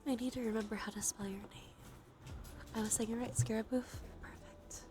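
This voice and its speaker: A sniffly woman with a sore throat chatting softly on a cozy ASMR livestream, often dropping into whispers. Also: a little high, steady tone, a little fast, music in the background.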